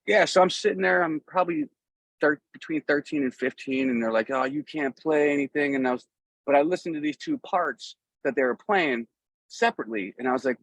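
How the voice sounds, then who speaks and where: A man's voice: fairly high pitch, dry recording, poor audio. A middle-aged man chatting casually as an interview guest, telling stories in a rambling, easygoing way. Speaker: guest, musician. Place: outdoors.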